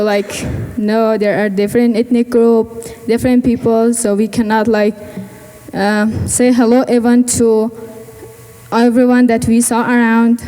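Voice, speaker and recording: A woman's voice: a little high, dark tone, in a large hall, rough recording. A teenage girl from Afghanistan, giving a personal speech at a gala and often searching for words in English. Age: teens. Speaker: student speaker. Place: large event hall.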